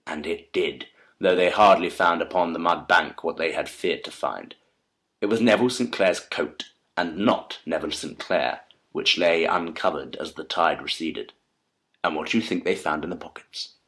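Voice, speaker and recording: An actor playing a keen, analytical detective in a dramatised audiobook, speaking articulately and emphatically in formal period diction. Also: male voice, low voice, moderate pace, fairly bright tone. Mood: deliberate, intrigued.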